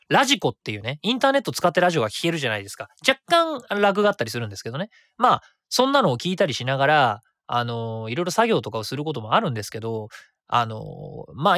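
A man around forty, hosting his own web radio show in a chatty, rapid way that stays easy to follow. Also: medium pitch, fairly bright tone, no echo, studio quality. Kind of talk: casual solo radio talk.

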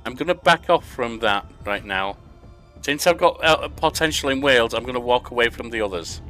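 A man's voice: medium pitch, expressive, moderate pace, pushed and bright, soft background music. An older man from England narrating a management video game, reading the questions aloud and answering them himself. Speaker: gaming YouTuber. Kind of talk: casual let's-play commentary. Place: home room at a desk.

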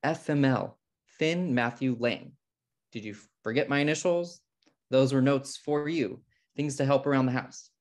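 A man's voice: medium pitch, a little slow, natural voice. Guy acting as fussy exasperated brother, tidy type, comedy script read on a video call. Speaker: actor in a table read. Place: indoor room on a video call.

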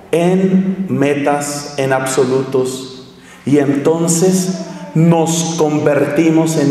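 A middle-aged man preaching a sermon at a lectern, slow and emphatic with long dramatic pauses. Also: fairly high pitch, a little mellow. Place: large church hall at a lectern. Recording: echoey church hall, distant mic.

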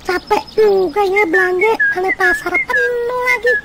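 A man voicing a chirpy, easily startled village woman shopper in a comic cartoon, singsong and shrill. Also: normal speed, vocal fry, heavy background noise. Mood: carefree.

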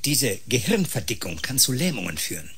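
Animated, sneering male clinic colleague. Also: mid-range voice, brisk, gravelly, slight background noise. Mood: mocking. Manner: sarcastic, goading. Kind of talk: German science-fiction radio drama acting.

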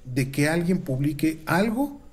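A middle-aged Mexican man, a university researcher, giving measured, formal analysis from a room at home over a video call, with frequent filler pauses. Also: fairly high pitch, expressive, darkish tone. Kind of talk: analytical commentary. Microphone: video call webcam.

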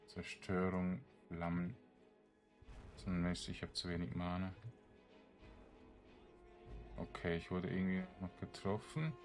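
A man with a fairly low voice commentates on a video game. He talks very slowly and casually, muttering to himself. His delivery is that of an exasperated, grumbling player. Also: steady tone, faint music behind. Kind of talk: casual let's-play commentary.

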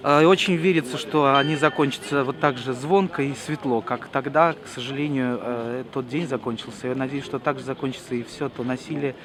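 A man, a journalist from Russia, giving a slow, thoughtful outdoor interview with hesitant pauses. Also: medium pitch. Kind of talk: reflective street interview. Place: outdoor city square at a memorial gathering. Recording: outdoor crowd noise behind.

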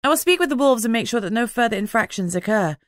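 A woman's voice: a little high, animated, quick pace, no echo, pitched above the performer's own voice, close to an everyday voice. An earnest young female alpha werewolf speaks up decisively in an audiobook, played by a woman narrator. The sound is close and dry. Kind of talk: audiobook character dialogue.

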